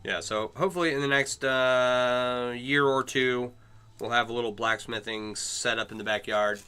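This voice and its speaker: A man's voice: medium pitch, steady tone, normal speed, sharp tone, smooth. A middle-aged man chatting casually on a livestream while he works on chainmail, greeting and answering his viewers. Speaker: chainmail maker streaming live.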